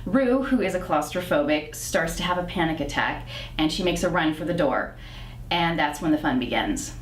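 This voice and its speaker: A woman screenwriter giving a prepared pitch of a script on a theater stage. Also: expressive, normal speed. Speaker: screenwriter presenting their script. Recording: echoey theater, distant mic, faint noise.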